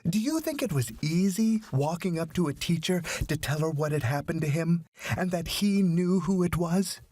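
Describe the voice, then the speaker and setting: A man voicing a proud, dignified widowed foster mother in a storybook, speaking in an earnest, measured, emphatic way. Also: on the high side, animated, warm tone, no room sound, pitched above the performer's own voice. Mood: proud, impassioned. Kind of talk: audiobook story character.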